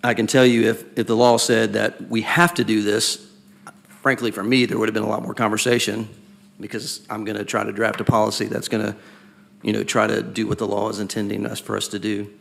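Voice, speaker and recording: A man with a raspy voice speaking as a school board member at a public meeting, questioning deliberately and circling back to restate his point. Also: lowish voice, little pitch movement, fairly bright tone. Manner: deliberate, questioning, repeats himself while building a point.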